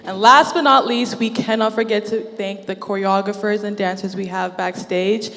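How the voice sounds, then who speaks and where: A woman's voice: medium pitch, steady tone, normal speed, long reverb tail. A woman from Zimbabwe emceeing a student show, upbeat and teasing as she hypes the crowd. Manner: warm-up announcer, teasing, upbeat. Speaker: event emcee.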